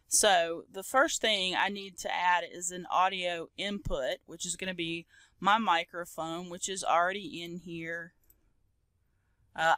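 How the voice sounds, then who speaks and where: A woman hosting a casual software tutorial, explaining step by step in an informal, conversational way. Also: lowish voice, no room sound. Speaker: tutorial host.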